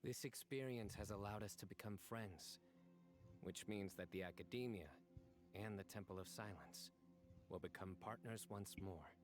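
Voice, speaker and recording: An actor playing a stern, composed young general in a video game, speaking in a measured, formal and deliberate way. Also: male voice, lowish voice, over music, close to an everyday voice. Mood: calm, resolute. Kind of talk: scripted video game dialogue.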